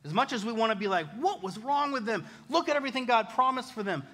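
Bright-voiced American man preaching in reverberant hall. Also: extremely high pitch, fast talker. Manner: casual, animated, self-deprecating, mimics others' remarks. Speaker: pastor preaching. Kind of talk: conversational sermon with personal stories.

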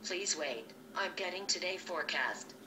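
A synthesized female voice of a tablet assistant app, answering in stilted, flat phrasing. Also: on the low side, normal speed. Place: small room at a desk. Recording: far-off tablet speaker, noisy room.

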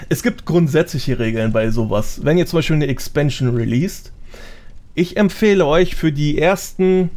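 A man's voice: a little high, expressive, moderate pace, a little mellow. A man, a podcast guest explaining things in informal, slangy casual chat. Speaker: podcast guest, gaming content creator.

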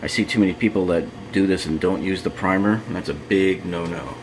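A man's voice: low-pitched, a little fast, noisy background. A man narrating a hands-on bathroom repair how-to, explaining each step in a practical way with casual fillers. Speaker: DIY remodeling host. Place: home bathroom, indoors.

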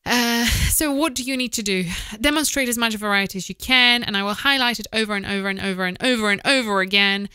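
A woman in her thirties hosting a casual instructional podcast, repeating words for emphasis and pausing to think, speaking close to a studio microphone. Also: fairly high pitch, bright, forceful tone, clear, resonant tone, dry recording. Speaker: host, voice-over producer. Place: small home office, indoors. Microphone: close studio microphone with foam windscreen, headphones.

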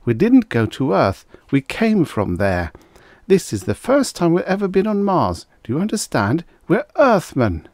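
Man acting out earnest spaceman, audiobook character, exasperated, urgent, higher swooping pitch, dry sound. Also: wildly swooping pitch, measured pace, darkish tone, no room sound, full character voice. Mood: exasperated, insistent. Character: frustrated, insistent male spaceman protesting earnestly.

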